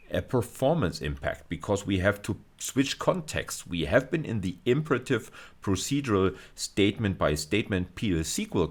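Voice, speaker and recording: A middle-aged man lecturing in a very low voice. His intonation rises and falls in a lively way as he explains things step by step, pausing now and then to find his words. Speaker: university lecturer. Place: indoors, recorded video lecture.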